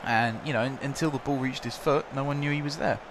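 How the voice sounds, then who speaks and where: A man's voice: mid-range voice, steady tone, fast talker, noisy background. A young man with a sore throat giving rambling, chatty commentary over a football management game. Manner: chatty, rambling, filler-heavy. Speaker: gaming commentator.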